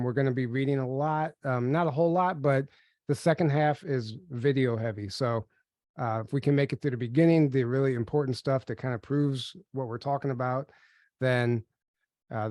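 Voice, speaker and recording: A man's voice: medium pitch, fairly even intonation, fairly quick, a little mellow, dry recording, clean studio sound. A man hosting a podcast webinar, chatty and upbeat, a little nasal and sniffly as he gets over a cold, reading slides aloud. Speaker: podcast co-host. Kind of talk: casual webinar presentation.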